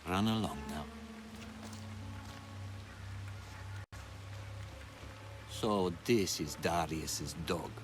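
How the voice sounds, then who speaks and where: A man playing a menacing, sly, cruel villain in a video game, taunting theatrically and dropping into hushed tones. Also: sits low, heavily acted. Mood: mock soothing. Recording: clear noise, rain patter behind.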